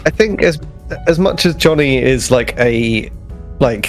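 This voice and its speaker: A man's voice: mid-range voice, animated, measured pace, faint music behind. A man playing a tabletop game, casually narrating what his character does as he makes it up.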